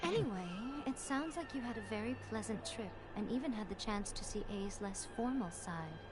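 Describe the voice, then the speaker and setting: A woman's voice: mid-range voice, animated, normal speed, heavy background noise, close to an everyday voice. A woman voicing a sly, teasing shrine priestess in a game, amused and knowing. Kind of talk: game voice acting.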